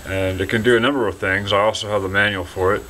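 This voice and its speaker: A man giving a casual, halting product demonstration from behind a hand-held camera indoors, as if selling something. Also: fairly low pitch, steady tone, bright, forceful tone, a little hiss or hum, low-quality recording. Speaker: seller demonstrating a restored vintage radio signal tracer. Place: dim indoor room, speaking from behind the camera.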